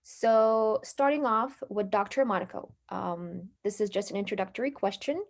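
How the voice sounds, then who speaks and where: A woman's voice: medium pitch, moderate pace. A young woman from Morocco speaking as a panel moderator, introducing a session in a formal, organized style.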